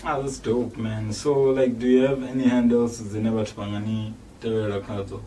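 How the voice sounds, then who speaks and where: A man's voice: fairly low pitch, level tone, fairly quick, mellow. A man with a South African accent hosting a casual interview in a laid-back, slangy way. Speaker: host. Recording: distant mic with faint noise.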